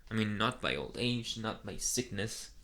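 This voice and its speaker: A man voicing a soft, flustered young male vampire in an ASMR boyfriend roleplay, speaking hesitantly and earnestly in an intimate murmur. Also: on the low side, animated, normal speed. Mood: hesitant, reflective.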